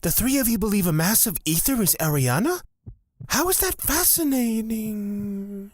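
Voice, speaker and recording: A woman's voice: medium pitch, expressive, normal speed, darkish tone, natural voice. A woman playing a sweet, serenely confident young male cleric in a fantasy audio drama, earnest and sunny.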